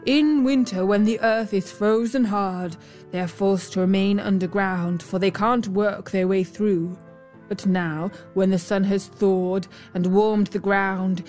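A woman voicing a gentle, solemn male bear in a fairy tale, speaking gravely and deliberately. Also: medium pitch, close to an everyday voice. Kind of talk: storybook character voice.

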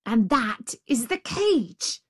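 A woman voicing a proud, short-tempered tiger in a children's story, loud, emphatic and impatient. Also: mid-range voice, sing-song, takes it slowly. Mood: exasperated.

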